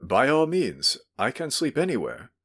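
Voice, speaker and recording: A man voicing a drunk, sentimental, fawning middle-aged gentleman in a storybook reading, pleading and effusive. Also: mid-range voice, huge pitch swings, a little slow, slightly rough, pitched above the performer's own voice, exaggerated voice. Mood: submissive.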